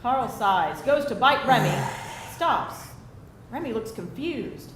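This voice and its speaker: In a very echoey venue with faint background noise, a woman narrates a screenplay. She reads stage directions at a live table read in a fairly high voice. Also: lively intonation, slow pace. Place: indoor live reading before an audience.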